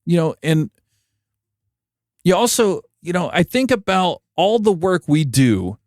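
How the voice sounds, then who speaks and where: A man's voice: high-pitched, sing-song, measured pace, darkish tone, dry recording, clean studio sound. An American man hosting a podcast, delivering a conversational opinion monologue in a wry, emphatic way. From United States. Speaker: podcast host, broadcast engineer.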